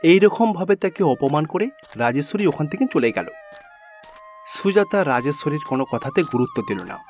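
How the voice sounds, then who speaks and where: Casting: male storyteller, higher-pitched voice, scripted cartoon folktale narration over music. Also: huge pitch swings, normal speed, darkish tone.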